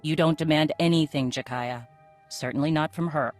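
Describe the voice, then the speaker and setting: A woman's voice: low-pitched, moderate pace, a little mellow, faint music behind, close to an everyday voice. A woman playing a wry, self-assured leader of a warrior clan in a video game, dry and teasing in manner. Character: wry, commanding female Mandalorian leader.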